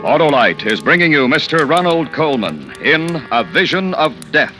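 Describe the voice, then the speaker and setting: Man, 1940s radio announcer, formal, dramatic, forceful bright tone, music underneath. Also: a little high, very animated, moderate pace. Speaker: program announcer. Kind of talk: 1940s radio thriller introduction. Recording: vintage radio broadcast, music behind.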